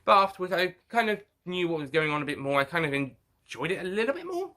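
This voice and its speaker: A man talking casually to viewers in an unscripted review, halting and rambling as he often rephrases himself. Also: high-pitched, big pitch swings, pushed and bright. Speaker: review show host.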